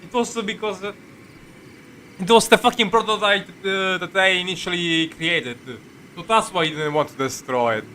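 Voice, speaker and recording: A man giving casual live commentary as he plays a game, halting and repetitive, swearing freely. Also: high-pitched, pushed and bright, slight background noise. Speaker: game streamer.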